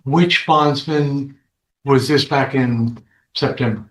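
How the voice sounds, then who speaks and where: A man's voice: medium pitch, steady tone, slow pace. Echoey male defense attorney questioning a witness. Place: indoor courtroom. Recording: echoey courtroom.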